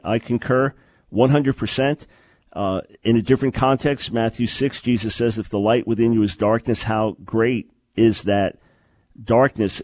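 A man hosts a call-in radio show, pressing his points with earnest emphasis and doubled words. His voice sits at a middling pitch and comes through close and dry, with no room echo. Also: darkish tone. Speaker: radio talk show host.

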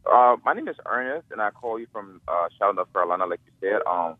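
A man from Africa calls in to a radio show, his voice low and pushed through a thin, tinny phone line. He speaks hesitantly, with many fillers as he gathers his thoughts. The sound is dry, with no room around it. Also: expressive, fast, dry recording. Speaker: caller. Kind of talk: call-in radio caller. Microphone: telephone.

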